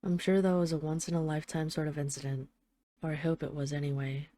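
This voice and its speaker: A woman's voice: sits low, little pitch movement, fast talker, slight background noise, natural voice. A woman playing an affectionate, wry mafia boss who misses her partner, speaking in an intimate, conversational roleplay monologue like a voicemail. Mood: tender, sheepish. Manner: conversational, affectionate, gently self-deprecating, wry. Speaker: audio roleplay voice actor.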